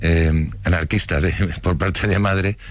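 Deep-voiced Spanish man recalling his childhood. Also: steady tone, slow, no room sound, soft background music. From Spain. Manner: casual, storytelling with laughs and restarts. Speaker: interviewee. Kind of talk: recounting childhood memories.

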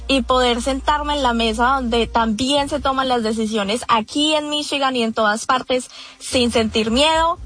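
A woman's voice: sits high, a bit bright. A woman with a Colombian accent, a guest in a radio interview, speaking earnestly with many fillers and restarts. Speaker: event organizer, guest.

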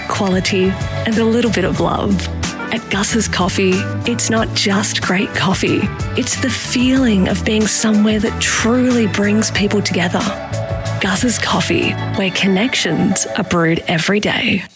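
A woman reading a radio ad in a soft, cosy way. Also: mid-range voice, measured pace, music in the background. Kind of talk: radio ad read.

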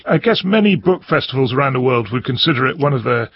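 Radio host, man, husky gravel, conversational questions, dry lo-fi. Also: medium pitch, animated, fast. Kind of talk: radio interview.

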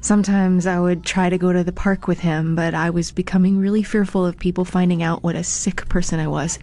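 Candid woman, low mellow voice. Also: fairly even intonation, fairly quick. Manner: candid, confessional. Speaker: testimonial speaker. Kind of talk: scripted personal testimony.

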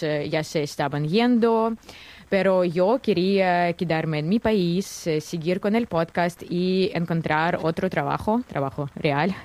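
Young Russian woman, non-native speaker with an accent, low-pitched, hesitant. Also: darkish tone, no room sound. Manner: careful, slightly hesitant, many fillers. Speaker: guest journalist. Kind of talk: interview answers.

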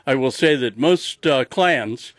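A man's voice: medium pitch, slow pace, no room sound. A man chatting casually on a radio show in a teasing, coy way, as one of its contributors.

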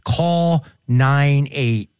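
A man's voice: a little high, extremely slow, darkish tone, clear, resonant tone, no echo, clean studio sound. A man co-hosting a recovery radio talk show, speaking earnestly and reassuringly to listeners. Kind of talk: casual radio chat.

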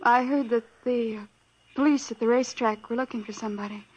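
An actress playing a gentle, earnest young woman who runs a small-town gas station, in 1940s radio style. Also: on the high side, steady tone, a little fast, husky, highs cut off.

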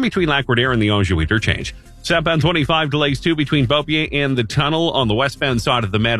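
Man, radio traffic report, brisk delivery, quick pace, lively pitch. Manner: brisk, rattling off road updates.

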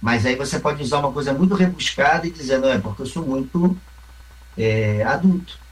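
A man's voice: mid-range voice, a little fast, distant mic, low-quality recording. Thoughtful Carioca man explaining theatre. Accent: Carioca Brazilian Portuguese. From Rio Grande do Sul, Brazil. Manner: reflective, explains with examples, frequent "né?". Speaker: theatre company member, mime actor. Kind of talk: interview answers.

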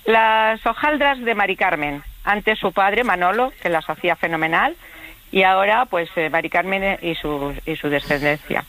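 Woman radio caller from Spain: conversational, with a bright, strong tone. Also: medium pitch, animated, normal speed, rough recording. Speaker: phone-in caller. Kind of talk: casual chat.

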